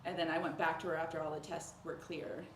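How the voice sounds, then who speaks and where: A woman's voice: low voice, little pitch movement, fast talker. A woman speaking informally as a patient, casually recounting symptoms with lots of "like" and quoted remarks. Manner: informal, peppered with "like", quoting others. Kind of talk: casual conversational account of symptoms. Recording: roomy echo with clear background noise.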